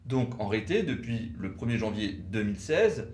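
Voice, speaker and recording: A man giving a didactic, precise legal explanation in short, measured phrases. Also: on the low side, sing-song, a little mellow, slight background noise. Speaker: legal commentator for a law firm, co-presenter. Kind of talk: explanatory legal lecture, semi-scripted. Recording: roomy, echoing sound.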